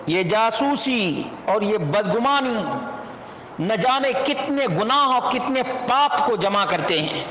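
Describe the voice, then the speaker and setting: A man's voice: high-pitched, a bit bright. A man delivering an Islamic sermon as a preacher, earnest and exhorting, repeating key words for emphasis. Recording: dull, noisy, echoing hall recording.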